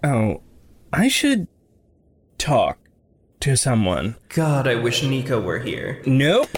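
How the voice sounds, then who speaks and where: A man's voice: medium pitch, wildly swooping pitch, slow pace, a little hiss or hum. An actor playing a sardonic, anxious, conflicted man in a fiction podcast, with a wry, hesitant delivery.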